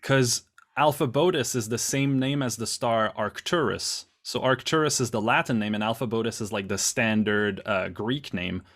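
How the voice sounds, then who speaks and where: A man from Canada speaks over a video call as an interview guest, in a middle-range voice. He talks quickly and restarts his phrases often, with a loose, self-deprecating ease. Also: medium pitch, a bit bright. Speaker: musician guest. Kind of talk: casual interview chat.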